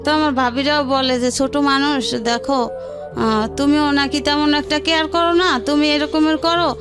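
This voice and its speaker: A woman's voice: high voice, fairly even intonation, normal speed, over music. A woman with a Bangladeshi accent telling her life story as an interview guest, in colloquial words and often relaying what others said. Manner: colloquial, narrates with reported dialogue.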